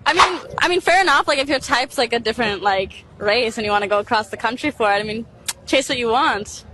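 A woman's voice: a little high, lively intonation, fairly quick, sharp tone, slight background noise, poor audio. A young woman answering a street interview into a handheld mic, casual and dismissive. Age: young adult. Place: city street at night.

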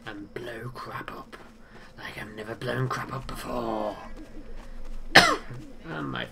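A man's voice: mid-range voice, slow pace, vocal fry. A man with a cold and a stuffed, nasal voice, chatting and joking at himself while playing a game. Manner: chatty, self-mocking, reacts aloud while playing. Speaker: gaming channel host. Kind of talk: casual gameplay commentary. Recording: game sounds and keyboard noise behind.

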